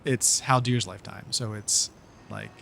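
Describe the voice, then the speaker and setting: A man's voice: fairly low pitch, steady tone, measured pace, vocal fry, a bit bright. Man, tabletop role-player, casual improvised talk, light noise in the background. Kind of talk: casual improvised game chat.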